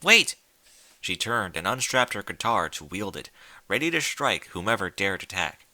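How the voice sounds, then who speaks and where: A man reading stories aloud in a theatrical, deliberate style of dramatic scripted narration. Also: deep voice, lively intonation, sharp tone, no room sound. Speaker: story reader.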